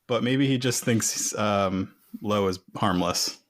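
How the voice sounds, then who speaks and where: A man's voice: on the low side, takes it slowly, a little hiss or hum. A man talking casually as a player in a tabletop roleplaying game.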